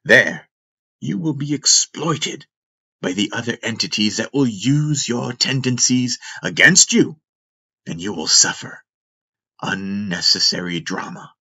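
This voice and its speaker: A man's voice: mid-range voice, expressive, measured pace, sharp tone, voice pushed up, exaggerated voice. A man voicing an aging, wise spiritual father and ruler in a fantasy audiobook, grave, exhorting and earnestly pleading. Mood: grave warning. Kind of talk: fantasy audiobook character reading.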